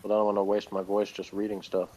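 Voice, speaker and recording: A man's voice: lowish voice, monotone, fast talker, dark tone. A man chatting casually as a reviewer, commenting in a dry, sardonic, joking way. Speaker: episode reviewer. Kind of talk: casual review chat, reading aloud and commenting. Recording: clearly noisy room ambience.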